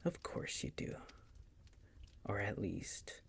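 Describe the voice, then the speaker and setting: A man playing a possessive, coolly menacing vampire master in an intimate audio roleplay, teasing and condescending in a breathy, gravelly whisper. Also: lightly acted. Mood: hungry, possessive. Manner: slow, condescending, teasing, coaxing.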